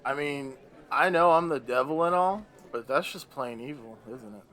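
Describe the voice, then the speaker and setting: A man's voice: medium pitch, normal speed, fairly bright tone, lightly acted. A man playing a bitter, weary old Devil in a radio play, grumbling in a theatrical, sardonic way. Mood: wry, grumbling.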